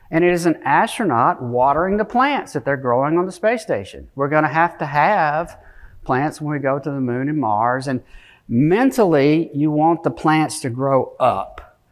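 A middle-aged man giving an informal technical keynote in a conversational manner. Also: fairly high pitch. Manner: conversational, frequent tag questions like "okay?" and "right?"; frequent throat clearing. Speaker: conference keynote speaker. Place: conference stage, speaking from a podium area to an audience.

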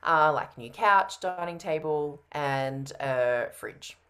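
A woman's voice: sits low, slow, fairly bright tone. A woman in her thirties on a video call from home, answering casually as the prospect in a sales roleplay. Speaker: coaching trainee playing a sales prospect.

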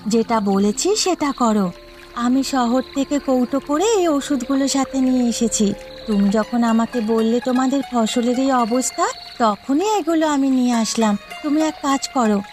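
A self-assured young bride from the city acted by a woman in a cartoon drama. Her voice is high and she instructs firmly, with light music underneath. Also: a little mellow, lightly acted. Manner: firm, instructing. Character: confident, bossy young city-bred new bride. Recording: music behind the voice.

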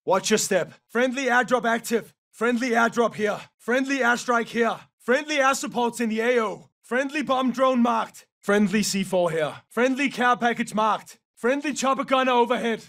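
A battle-hungry male mercenary for a military shooter game. His voice is very high-pitched for a man, gritty and hard-edged. He barks short, clipped combat callouts with gruff force. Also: moderate pace, hardly any pauses, a bit bright, distant mic, full character voice. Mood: terse, alert. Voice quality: gravelly.

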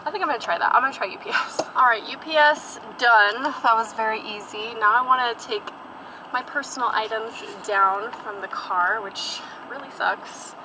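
A young woman talks from behind the wheel, chatting loosely about her day with plenty of fillers. She is recorded on a dashboard camera inside the car, with steady road and engine noise underneath her voice. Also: on the high side, moderate pace. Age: young adult. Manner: chatty and rambling, many fillers and asides. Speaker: vlogger. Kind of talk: casual vlog chat while driving. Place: inside a parked and moving car.

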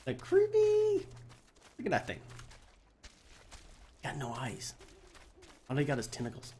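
A man's voice: fairly high pitch, wildly swooping pitch, unhurried, warm tone, a little hiss or hum. A man with a cold giving casual let's play commentary, muttering asides as he streams a video game. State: has a cold. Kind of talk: casual let's play commentary, reading quest text aloud. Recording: game music behind the voice. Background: video game music.

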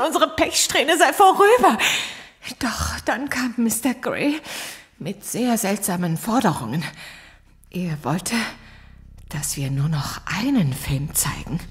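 An actress playing a distraught, blackmailed mother in a horror audio drama, halting and tearful. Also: mid-range voice.

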